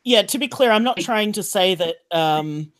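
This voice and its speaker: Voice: medium pitch, expressive, normal speed. A podcast panelist in casual discussion, informal and conciliatory, qualifying points while talking.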